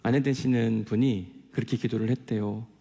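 A man preaches in a conversational, earnest way, weaving in personal stories. His voice is mellow and mid-pitched with a gravelly creak. Long hall reverb surrounds his words. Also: steady tone, normal speed. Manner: conversational, earnest storytelling with rhetorical questions. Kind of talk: sermon with personal stories and diary reading.

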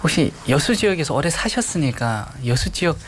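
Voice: mid-range voice, very animated, a little hiss or hum. An interviewer politely questioning church members, with frequent short affirmations. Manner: polite, quick affirmations and soft laughs.

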